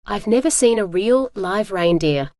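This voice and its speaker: A woman's voice: mid-range voice, expressive, unhurried, a little mellow, no echo, close to an everyday voice. Blunt, frustrated young woman pathologist, audiobook dialogue, read by a woman, dry close sound. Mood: blunt, irritated.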